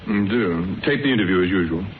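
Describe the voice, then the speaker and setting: An actor in a radio drama playing a courteous, unflappable detective with a dry way of questioning. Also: male voice, low-pitched. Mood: dry, amused. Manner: calm, measured questioning, dry. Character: unflappable, dryly courteous male private detective. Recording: tinny band-limited radio recording with hiss.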